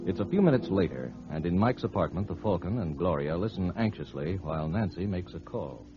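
A male radio announcer delivering 1940s-style commercial pitches and narration in a polished, persuasive manner. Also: animated, fairly quick, over music. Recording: muffled old radio broadcast recording.

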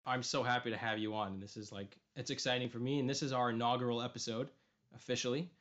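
A man's voice: medium pitch, steady tone, fast, fairly bright tone. A man hosting a podcast, asking questions in a casual interview style. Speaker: podcast host. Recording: slightly dull, lo-fi audio.

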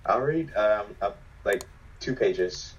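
A teenage boy with a raspy, hoarse voice from a sore throat, talking hesitantly as a podcast guest. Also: lowish voice, lo-fi sound. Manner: halting, flat, hesitant reading.

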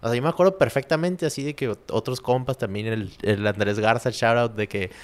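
A man hosting a podcast, speaking with a northern Mexican Spanish accent and a relaxed, slang-heavy manner. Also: mid-range voice, a little fast. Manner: slangy, relaxed, lots of "güey" and "pues". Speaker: podcast host. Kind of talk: casual podcast conversation.

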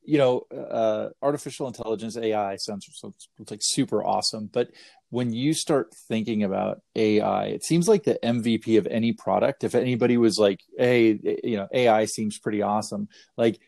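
A man's voice: fairly low pitch, normal speed, vocal fry, mellow, dry recording, studio quality. A man hosting an interview, asking rambling, casual questions full of fillers. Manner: rambling questions with many likes. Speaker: podcast host.

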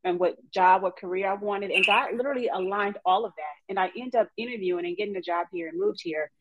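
A woman from East Texas, chatting on a podcast as a guest counselor and telling her story with faith-filled warmth. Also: medium pitch, fast, phone-quality sound, noisy background. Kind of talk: casual podcast chat.

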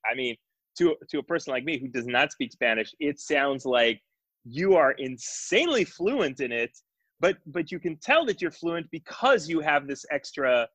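A man's voice: a little high, fairly bright tone, husky, no echo, low-quality recording. A man from Israel chatting casually as co-host, thoughtful and hesitant, with frequent fillers. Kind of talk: casual chat.

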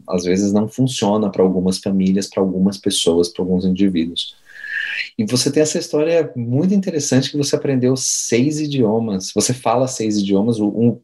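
A man's voice: lowish voice, warm tone. A man interviewing a guest, asking long, winding questions with many hesitations.

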